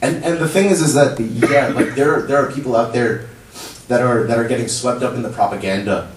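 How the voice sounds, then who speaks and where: A young man whose first language is Urdu, speaking in a halting, pleading way at a public comment podium in a council chamber. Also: medium pitch. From child of immigrants. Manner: halting, many restarts, rising to pleading urgency. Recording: roomy hall, distant mic, faint hum.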